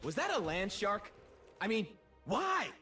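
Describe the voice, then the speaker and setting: A flamboyant, vain bird-man warrior from a video game, with a high, slightly rough voice. His pitch swings wildly as he swaggers and mocks, theatrical and playful, over music. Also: huge pitch swings, unhurried, pushed and bright, full character voice. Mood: scornful. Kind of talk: video game character voice lines.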